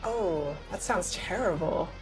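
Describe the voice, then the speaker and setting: A woman's voice: on the low side, expressive, slow pace, a little mellow, soft background music, rough recording. A woman improvising casually as a player in a tabletop roleplaying game.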